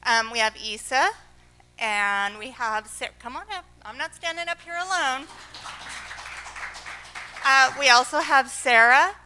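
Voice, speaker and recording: A woman teacher presenting students at a board meeting, projecting her voice loudly and upbeat. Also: sits high, unhurried, long pauses, a little hiss or hum. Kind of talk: ceremony presentation. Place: school board meeting room.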